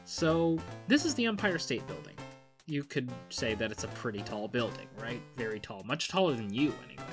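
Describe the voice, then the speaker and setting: A woman gives chatty, animated game commentary in a low voice. Her pitch swings widely as she talks, in a casual, joking style, with music playing behind her. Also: brisk. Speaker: let's play host. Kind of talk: casual jokey game commentary with acted asides. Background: video game music.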